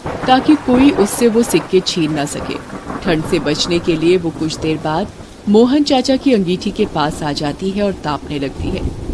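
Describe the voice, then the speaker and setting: A woman's voice: mid-range voice, background noise. A woman narrator telling a scripted children's story.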